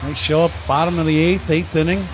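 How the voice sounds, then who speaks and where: A man's voice: on the high side, steady tone, a little fast, music in the background, poor audio. A man giving conversational colour commentary on a live baseball radio broadcast. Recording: clear ballpark noise behind. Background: outdoor stadium ambience.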